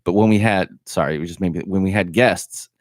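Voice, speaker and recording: A man with a very deep voice who talks quickly in casual podcast chat and drops deadpan jokes. The close mic gives a dry sound with no room echo. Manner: quick deadpan one-liners, chatty. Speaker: podcast co-host.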